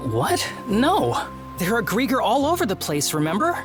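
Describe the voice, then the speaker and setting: A woman's voice: medium pitch, big pitch swings, normal speed, music in the background, lightly acted. A woman voicing an easygoing but reluctant young boy sidekick in a video game, with a flustered, grumbling manner. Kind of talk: animated video game voice acting.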